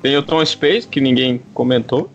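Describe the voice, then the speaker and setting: A man's voice: mid-range voice, normal speed, highs cut off. A man talks casually as a panelist on a music podcast. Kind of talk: casual chat.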